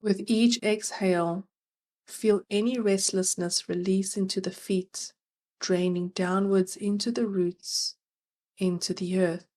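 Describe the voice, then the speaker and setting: A woman narrating a guided meditation as its guide, gentle and soothing, leaving long pauses between phrases. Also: medium pitch, steady tone.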